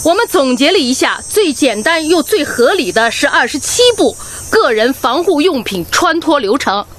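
A high-voiced, earnest medical trainer. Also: female voice, very animated, moderate pace, fairly bright tone. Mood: earnest, instructive. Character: earnest female medical trainer briefing her team. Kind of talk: Chinese radio drama acting.

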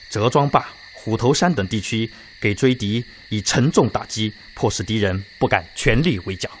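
A man's voice: fairly low pitch, a little hiss or hum, lightly acted. A man giving formal, declamatory scripted narration for a historical radio drama in Chinese. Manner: solemn, declamatory.

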